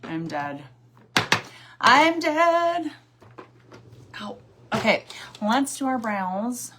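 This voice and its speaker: A woman's voice: a little high, very animated, drawn-out words, noisy background. A woman in her forties chatting casually on a livestream while doing her makeup, sassy and chatty, answering viewer comments and laughing often. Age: 40s. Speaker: livestream host.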